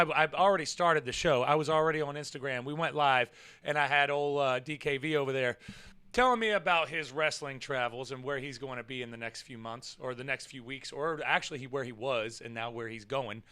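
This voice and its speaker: A man chats his way through a livestream while his voice is hoarse and worn from yelling. His tone stays bright and smooth underneath, sitting a little high. He rambles, swears casually, and jumps into loud excited bursts. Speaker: livestream show host. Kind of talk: casual livestream chat with viewers.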